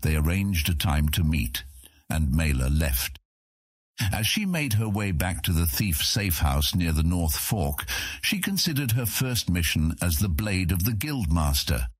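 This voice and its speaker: A man's voice: deep voice, big pitch swings, a little slow, slightly rough, no echo, clean studio sound. A man narrating scripted fantasy fiction as an audiobook narrator.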